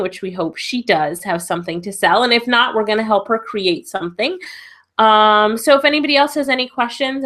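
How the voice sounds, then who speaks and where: A woman's voice: medium pitch, fairly bright tone. A woman with a cold and a stuffy nose hosting a live webinar Q and A as a business coach, chatty and encouraging, laughing often. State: congested with a cold.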